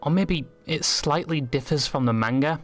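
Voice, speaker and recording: A man's voice: fairly high pitch, normal speed. A man reading scripted comedic video-essay narration in a sardonic, crude way. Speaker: narrator of an anime commentary video. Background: music behind the voice.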